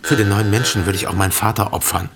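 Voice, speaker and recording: Low-voiced, unsparing communist zealot. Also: male voice, fast, creaky, crowd noise. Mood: accusing, fanatical. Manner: accusing, emphatic. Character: zealous, hard-line young communist peasant, unsparing. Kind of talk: radio drama dialogue.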